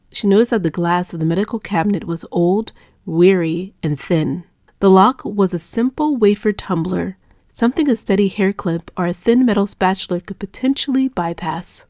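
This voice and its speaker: A woman narrating a scripted dark romance audiobook in a measured, hushed, dramatic manner. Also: lowish voice, no room sound, clean studio sound.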